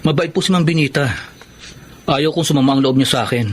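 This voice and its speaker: A man's voice: a little high, fast, a little hiss or hum, close to an everyday voice. An actor playing a respectful, reserved young man with a Filipino accent in a radio drama. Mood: earnest. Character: respectful, reserved young male restaurant pianist.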